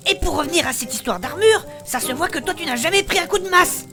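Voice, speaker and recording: A high-pitched, indignant warrior woman, acted by a woman in a fantasy comedy audio drama. She is outspoken and emphatic, proud of her armour and her femininity, and speaks over a clear music bed. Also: animated, quick pace. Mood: proud, explaining. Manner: argumentative, emphatic, coarse-tongued.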